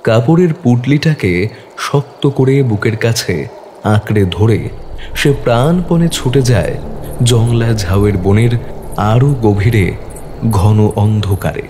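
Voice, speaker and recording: A man's voice: lowish voice, animated, slow, long pauses, mellow, a little hiss or hum. A man narrating a scripted horror story dramatically, in short, suspenseful phrases.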